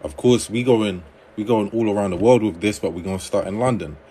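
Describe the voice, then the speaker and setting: A man hosting a livestream on dating advice, reading viewer comments aloud and answering them in a relaxed conversational way. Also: lowish voice, fairly quick, a little hiss or hum.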